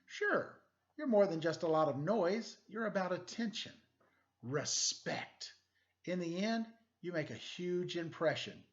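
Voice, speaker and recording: A man's voice: high voice, sing-song, a little slow, voice pushed up. A man playing a firm but reassuring private detective who is a letter in a children's storybook. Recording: dull lo-fi sound.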